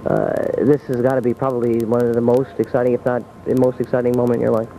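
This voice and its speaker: A man working as a radio news reporter, reporting live from the scene in improvised, halting but formal phrasing. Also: medium pitch, flat delivery, mellow. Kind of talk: live on-scene reporting and brief street interviews. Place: outdoors at an airport. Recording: muffled, noisy 1980s radio aircheck.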